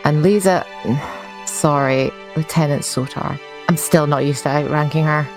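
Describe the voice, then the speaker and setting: A woman plays a conscientious starship security chief with a low voice, dictating her log in a dry, informal way. She is easily exasperated, and music plays clearly beneath her in this sci-fi audio game. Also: steady tone, a little slow. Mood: measured, reflective. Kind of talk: in-character scripted log narration. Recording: music under the voice.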